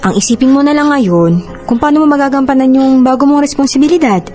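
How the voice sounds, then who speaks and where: A woman's voice: high-pitched, quick pace, mellow, natural voice. A woman with a Filipino accent playing a protective, forgiving elder sister in a radio drama, earnest and admonishing. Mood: reassuring, forgiving. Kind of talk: Tagalog radio drama acting. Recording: music bed under voice.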